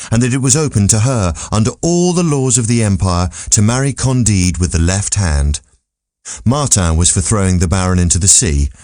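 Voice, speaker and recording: A man's voice: lowish voice, a little mellow, no room sound, clean studio sound. A man narrating a classic literary story from a script as an audiobook reader.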